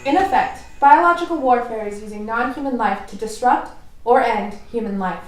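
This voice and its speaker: A woman's voice: fairly high pitch, moderate pace. A woman giving scripted narration for an audio drama, heard from a distance in a very echoey space. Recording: very echoey, distant, faint music.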